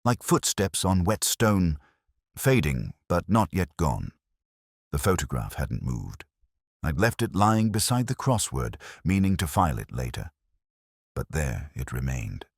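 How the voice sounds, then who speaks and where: A man with an extremely low voice narrates a detective mystery in the first person. His delivery is measured and brooding, with lively rises and falls through each literary phrase. The sound is dry and close, with a husky grain. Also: no room sound.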